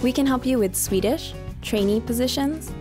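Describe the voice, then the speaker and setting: A woman reading a scripted informational voice-over in an even, measured way. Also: on the high side, over music. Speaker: voice-over narrator and interpreter.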